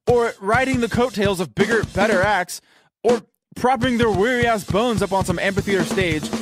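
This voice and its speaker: A man's voice: high-pitched, slight background noise, lightly acted. A man playing a fed-up, sweary rock purist ranting indignantly in an audio drama.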